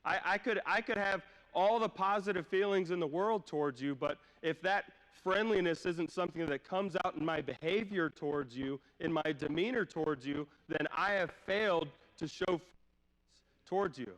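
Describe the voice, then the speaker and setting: Male preacher, higher-pitched voice a touch under the weather, informal and questioning, heard in a long-reverb church hall. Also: normal speed, a bit bright. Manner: informal, checks in with listeners with rhetorical questions. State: slight cough, voice a little off from a cold. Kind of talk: conversational sermon. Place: church hall during a live-streamed service.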